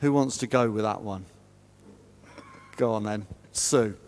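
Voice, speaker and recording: A man with a big, booming voice chairing a panel discussion, chatty and self-deprecating. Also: measured pace, darkish tone. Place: large parish church. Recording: long reverb of a big church.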